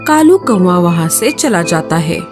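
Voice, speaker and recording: A woman narrating a cartoon story in an expressive storytelling manner. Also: on the low side, a little slow, music in the background.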